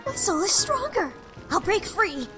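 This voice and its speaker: A woman's voice: very high-pitched, big pitch swings, a little slow, heavily acted. A woman voicing a spiteful, haughty demon child in a drama, contemptuous and indignant. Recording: loud music behind the voice.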